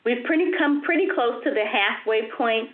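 A woman's voice: fairly high pitch, normal speed. A woman hosting an interview, chatting in a conversational way with frequent restarts and repeated words. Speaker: host. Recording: tinny phone line.

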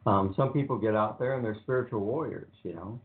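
An older man speaks in a low, flat monotone, drifting easily from point to point with frequent ums. He sounds like a laid-back radio host. The sound is thin and narrow, like a phone line. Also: brisk. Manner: relaxed, rambling, many ums. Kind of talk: casual radio talk.

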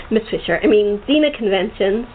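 A woman's voice: medium pitch, lively intonation, normal speed. A woman hosting a casual chat, laughing often and restarting her sentences. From United States. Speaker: host. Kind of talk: casual chat over a call. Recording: tinny phone-like line, faint noise.

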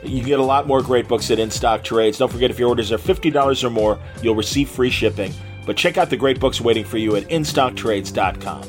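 A man with an American accent hosts a podcast in a casual, chatty style. His pitch sits in the middle of the male range, with faint music around the voice. He talks informally, like someone chatting with a guest. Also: a little fast, hardly any pauses. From Illinois, USA. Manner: chatty, informal, says "man" and "you know". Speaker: podcast host and interviewer. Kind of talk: casual conversational hosting with ad reads.

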